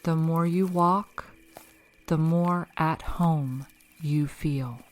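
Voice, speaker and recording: A woman leading a guided meditation in a calm, measured, instructional way, reading scripted narration. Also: low-pitched. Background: background music.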